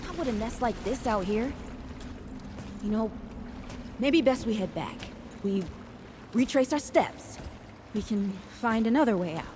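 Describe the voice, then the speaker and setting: A woman's voice: fairly high pitch, animated, measured pace, long pauses, noisy background. An actress playing a plain-spoken woman survivor in a video game, talking in a folksy, informal way. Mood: uneasy, weary. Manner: folksy, informal drawl with dropped grammar. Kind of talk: video game cutscene acting. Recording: loud outdoor game ambience and effects.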